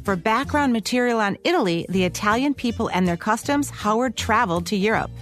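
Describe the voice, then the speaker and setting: A woman's voice: fairly high pitch, lively intonation, fairly quick, a bit bright, faint music behind. A woman co-hosting a podcast, reading scripted narration about radio history. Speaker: podcast co-host.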